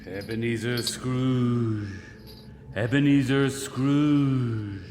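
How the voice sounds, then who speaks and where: A man playing the ghost of a dead old businessman in a radio play, speaking in slow, grave, booming pronouncements. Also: steady tone, background noise. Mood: summoning. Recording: echoey ghostly reverb with music.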